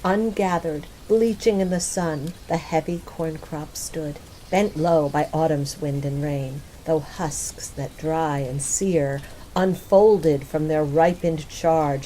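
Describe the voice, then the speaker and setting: A woman reciting poetry in a measured, lyrical and expressive manner. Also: low voice, a little hiss or hum, low-quality recording. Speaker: poetry reader.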